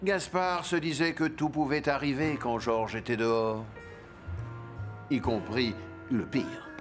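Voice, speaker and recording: A man's voice: medium pitch, steady tone, a little slow, music in the background. A man reading scripted narration for a children's cartoon.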